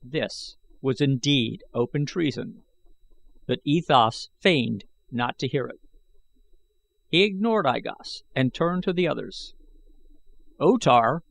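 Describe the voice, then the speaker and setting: A man's voice: medium pitch, expressive, takes it slowly, creaky, dry recording, rough recording. A man reading an adventure novel aloud as scripted audiobook narration.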